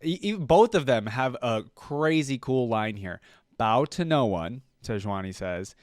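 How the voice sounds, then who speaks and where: A man's voice: medium pitch, expressive, a little slow, darkish tone, no room sound, studio quality. A man giving casual reaction commentary as host of a gaming video.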